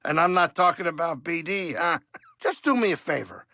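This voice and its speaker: An actor playing a wisecracking older alien pilot and cook in a video game, grumbling and blustery but warm-hearted. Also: male voice, fairly high pitch, expressive, moderate pace, vocal fry, no room sound, exaggerated voice. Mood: wry banter. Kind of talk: video game character acting.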